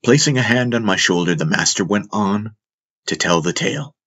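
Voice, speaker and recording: A man narrating a fantasy audiobook in a solemn, deliberate storytelling manner with dramatic emphasis. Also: on the low side, a bit bright.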